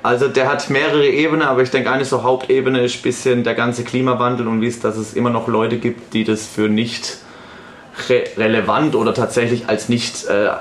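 A man in his thirties, singer and songwriter of a band, talks in a relaxed, easygoing way. His voice echoes around the room over a faint hiss. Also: mid-range voice. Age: 30s. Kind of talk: casual interview talk.

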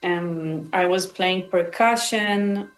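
A woman's voice: on the low side, slow, roomy sound, low-quality recording. Israeli-born woman musician, hesitant speech. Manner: thoughtful, hesitant, with many restarts. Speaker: musician guest. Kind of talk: interview answers.

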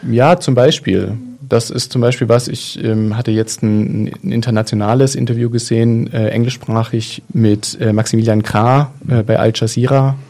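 A man's voice: mid-range voice, fairly even intonation, moderate pace, few pauses, mellow. A man in a casual discussion, talking in a thoughtful, hesitant way with many fillers and restarts.

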